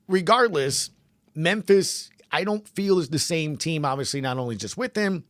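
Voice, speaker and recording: A man's voice: on the high side, animated, moderate pace, fairly bright tone, no echo, clean studio sound. A man hosting a sports podcast, giving casual commentary in emphatic, punchy conversational phrases.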